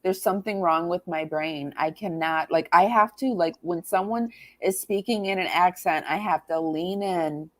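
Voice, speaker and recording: A tired woman with a Michigan accent chatting on a live stream, soft and halting, stumbling over her words. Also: fairly low pitch, no echo. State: tired, lounging. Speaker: co-host. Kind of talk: casual live chat.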